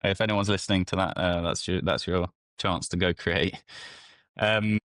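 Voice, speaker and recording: A man's voice: sits low, moderate pace, a bit bright, no echo. A man hosting a podcast, putting questions informally and conversationally, feeling his way into each one. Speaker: podcast host. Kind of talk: casual conversational interviewing.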